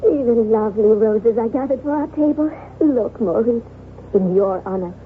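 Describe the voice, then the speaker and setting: A woman acting a sweet, innocent young bride in an old radio drama. Her voice is fairly high, girlish and gracious. It sounds thin and tinny, with some hiss behind it. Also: normal speed, lightly acted. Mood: bright, innocent.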